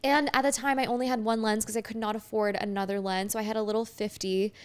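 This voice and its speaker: A woman's voice: a little high, little pitch movement, quick pace. A woman in her twenties from France, a podcast guest chatting casually and upbeat, with many filler "likes". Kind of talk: casual chat.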